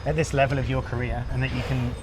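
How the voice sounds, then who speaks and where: A man's voice: mid-range voice, flat delivery, quick pace, very noisy. A man hosting an interview outdoors at a boat show, friendly and encouraging toward his guest. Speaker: interview host, chef. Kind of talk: casual interview chat.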